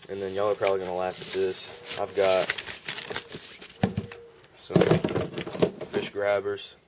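A man's voice: sits low, monotone, unhurried, very noisy. A young man, casually showing his fishing gear in a rambling, laid-back vlog on a porch, recorded on a handheld camera. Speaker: fishing vlogger. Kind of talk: casual show-and-tell vlog. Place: outdoor wooden porch.

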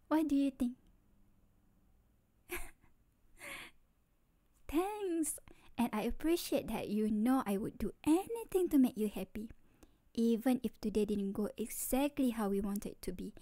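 A woman's voice: a little high, lively intonation, takes it slowly, long pauses, dark tone, dry recording. A woman playing a sweet, playful, doting young girlfriend in an ASMR roleplay, cutesy and tender, close to the microphone. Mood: excited, loving. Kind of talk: ASMR roleplay, scripted acting.

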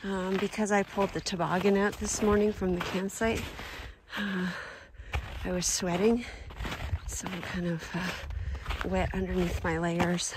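A woman in her forties on a snowy forest trail outdoors, filming a casual walking vlog on a phone at arm's length and talking in a soft, awed voice that often drops to a whisper. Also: on the low side, flat delivery, a little slow, background noise. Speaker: solo winter camper and vlogger.

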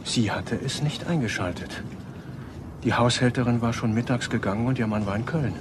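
An actor playing a grown son in a crime radio play, polite but insistent. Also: male voice, mid-range voice, moderate pace, lightly acted. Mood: polite, measured. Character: grown son living with his demanding mother. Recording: noisy radio play recording.

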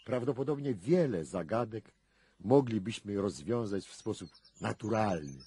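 A man acting in a 1990s Polish radio drama as a wry, philosophical investigator, with theatrical, deliberate phrasing and dramatic pauses. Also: fairly low pitch, lively intonation, warm tone, slight background noise, natural voice. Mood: musing.

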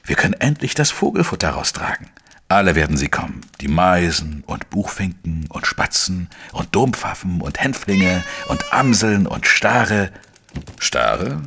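Very deep-voiced, dogged male sleuth. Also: sing-song, measured pace, gravelly, pushed and bright, breathy edge, exaggerated voice. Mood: tender, delighted. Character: dogged, wry male journalist-sleuth narrating his own case. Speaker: lead actor and first-person narrator. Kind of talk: German crime audio drama, narration and dialogue.

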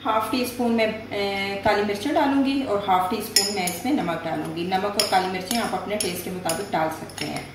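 A woman walks viewers through a home recipe one step at a time, friendly and plain, with small pauses. Her voice sounds a little distant from the microphone, in a reverberant room. A faint hiss sits under it. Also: mid-range voice. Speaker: cooking channel host. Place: home kitchen. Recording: echoey room, voice a bit distant, faint noise.

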